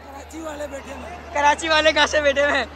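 Guy vlogging at a cricket stadium, really high voice, excited, repeats his taunts, loud crowd all round. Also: brisk. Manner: excitable, repetitive chanting and teasing. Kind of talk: casual vlog chat and taunting cheers at a cricket match. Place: outdoor cricket stadium stands. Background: roaring crowd and music.

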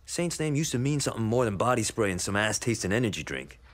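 Hot-tempered gangster, game cutscene, gruff, lowish, lively swings in delivery. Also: male voice, fast talker. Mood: disgusted, sarcastic. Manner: gruff, sarcastic, profane. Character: blunt, hot-tempered male street gang enforcer. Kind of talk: video game cutscene acting.

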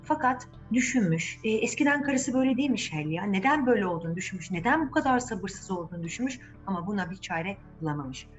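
Animated woman storyteller, slightly muffled recording. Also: medium pitch, fast talker, few pauses, faint music behind. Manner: expressive, addresses children directly, repeats words for emphasis. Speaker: children's storyteller, channel host. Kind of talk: animated fairy-tale narration for children.

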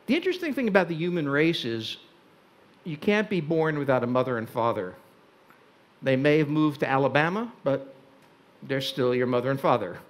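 An older Hungarian-born man giving a wry, deliberate talk on a stage in a large tent before an audience. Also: fairly high pitch. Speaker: speaker.

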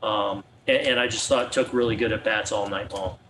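A middle-aged male team manager talks through his answer, pausing on ums and restarting thoughts. Faint room ambience sits behind him. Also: fairly low pitch, steady tone, a bit bright, slight background noise. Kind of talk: press conference answers. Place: press conference room.

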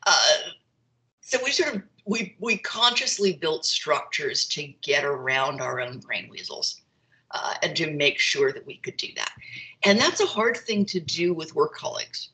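A woman's voice: low-pitched, animated, measured pace, sharp tone, rough recording. A middle-aged woman telling candid personal stories conversationally as a guest on a video call. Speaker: guest speaker. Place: open-plan kitchen and living room at home. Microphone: video call, computer microphone.

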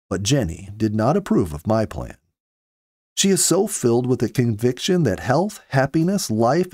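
A man in his fifties reading a story aloud with earnest, measured, dramatic phrasing, close to a studio microphone. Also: medium pitch, a little mellow, no room sound. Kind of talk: expressive audiobook-style story narration. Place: small home study, indoors. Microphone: close studio mic with pop filter.

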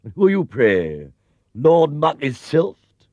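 A man's voice: fairly high pitch, huge pitch swings, slow pace, a little mellow, dry recording, muffled recording, voice pushed up, exaggerated voice. A man voicing a sarcastic, stern uniformed gatekeeper. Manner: sarcastic and sneering.

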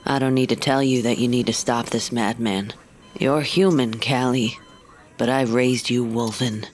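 An actress playing a gruff, protective female wolf-creature who raised a human girl, talking bluntly with gentle teasing, in an audio drama. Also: rumbling low, steady tone, measured pace. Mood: fond.

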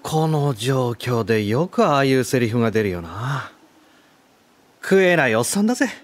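A man's voice: mid-range voice, very animated, normal speed, close to an everyday voice. An actor playing an easygoing, loyal young man with casual, frank speech in an anime audio drama. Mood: wry.

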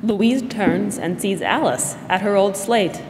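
A woman's voice: medium pitch, expressive, slow, noisy background. A young woman narrating a scripted radio drama. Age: young adult. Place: school theatre stage. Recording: echoey hall with music behind. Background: music.

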